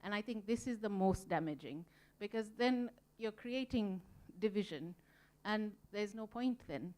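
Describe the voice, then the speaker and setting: A woman from Sri Lanka speaks on a conference panel in a smooth, clear voice at a middle pitch. She is earnest and reflective, and she fills her pauses with ums as she works through each point. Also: animated, darkish tone. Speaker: museum volunteer panelist. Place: conference room.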